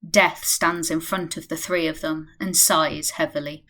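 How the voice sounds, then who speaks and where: A woman's voice: sits low, steady tone, measured pace, pushed and bright, exaggerated voice. A woman reading scripted narration for a radio play.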